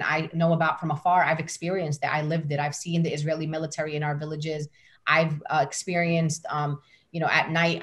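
A middle-aged woman, a guest on a video call from her home, speaks in a fairly low voice. She builds her points with passion and emphasis, often repeating words as she goes. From United States. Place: home room with curtains behind. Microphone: laptop webcam on video call.

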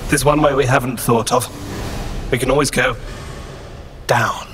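A man's voice: medium pitch, steady tone, moderate pace, a bit bright, close to an everyday voice. An actor playing a prim, precise, easily exasperated male android in a science-fiction audio drama. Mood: matter-of-fact. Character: fussy, exasperated male android, logical and dry. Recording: echoey, music behind.

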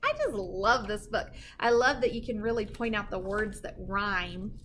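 A middle-aged woman reading a picture book aloud to children as a librarian, with animated, dramatic emphasis and a sing-song delivery. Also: medium pitch, measured pace, a little hiss or hum. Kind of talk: expressive children's read-aloud. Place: indoor library with bookshelves.

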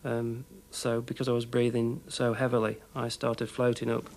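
A man's voice: mid-range voice, monotone, moderate pace, a little mellow. A man in his thirties recalling events in an interview, as a cave diver. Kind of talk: interview recollection. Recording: clear background noise.

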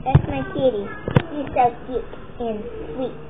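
A woman's voice: high-pitched, a little slow, heavy background noise. Chatty young girl on tinny phone audio. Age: child. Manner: chatty, rambling, restarts sentences. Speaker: vlogger giving a house tour. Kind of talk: casual house tour. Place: family home, indoors. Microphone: handheld phone camera.

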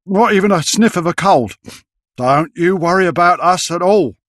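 A man's voice: high voice, lively intonation, moderate pace, fairly bright tone, no room sound, clean studio sound. An actor playing a shifty, paranoid townsman in a video game, falsely chummy and scornful, with an insinuating lilt. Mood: sly, dismissive. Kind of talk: video game character acting.

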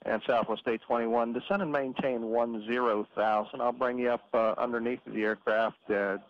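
A man working as an air traffic controller, giving brisk, procedural instructions in radio phraseology with filler pauses. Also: medium pitch, steady tone. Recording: muffled radio transmission with hiss.

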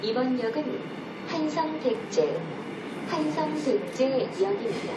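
A woman giving a formal, measured, courteous scripted subway announcement. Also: lively intonation. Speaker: train announcer. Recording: echoey, loud train rumble. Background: moving train noise.

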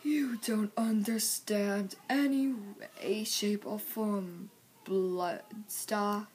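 A woman voicing a menacing grown male warrior cat with hushed, breathy threats. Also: mid-range voice, steady tone, drawn-out words, slight background noise. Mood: cold menace.

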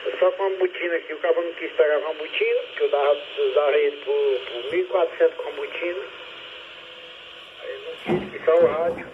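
A man's voice: a little high, fast. A man chatting casually over two-way radio, colloquial and often repeating his phrases. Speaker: amateur radio operator. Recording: narrow-band, noisy radio transmission.

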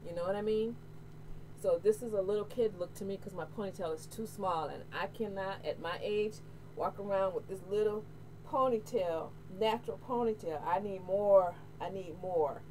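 A middle-aged American woman chatting casually to camera, candid and expressive, repeating phrases for emphasis. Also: mid-range voice, normal speed. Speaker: hair vlogger. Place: small room at home, indoors. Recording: noisy home webcam audio.

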